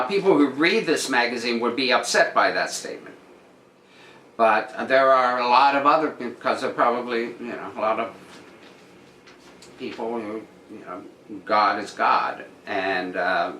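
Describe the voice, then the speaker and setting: A man reading articles aloud and commenting on them casually, with dry, deadpan asides. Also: medium pitch, a little slow. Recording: roomy echo with noticeable background noise.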